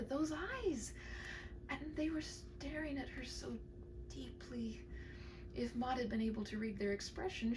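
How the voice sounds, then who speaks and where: Expressive woman storyteller, swooping and tender. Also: sits high, far from the mic, noisy background. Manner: tender, hushed and breathy, with dramatic pauses. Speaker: storyteller reading her own short story. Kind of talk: expressive dramatic story narration.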